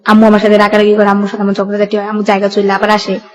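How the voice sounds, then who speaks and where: A woman's voice: medium pitch, monotone, rapid-fire. A young woman with a colloquial Bangladeshi Bengali accent, telling her life story in a plain, run-on way as a radio interview guest.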